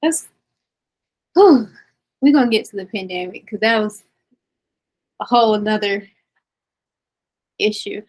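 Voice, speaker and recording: A young woman from the United States hosting a casual video-call interview, asking relaxed questions and giving easy affirmations. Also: medium pitch, measured pace, pauses often. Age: young adult. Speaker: interview host.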